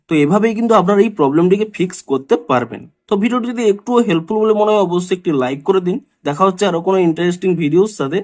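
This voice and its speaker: A man presents a tech how-to in a somewhat high voice. He talks at a racing pace, linking one instruction to the next in long, repetitive sentences. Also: darkish tone. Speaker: tech tutorial YouTuber. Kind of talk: step-by-step screen tutorial narration.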